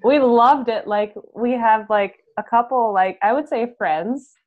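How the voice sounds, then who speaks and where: A young woman chatting casually as a guest, in an informal, halting way. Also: a little high. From Canada. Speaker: band musician, interview guest. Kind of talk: casual chat. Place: home room, video call. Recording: dull lo-fi video-call audio.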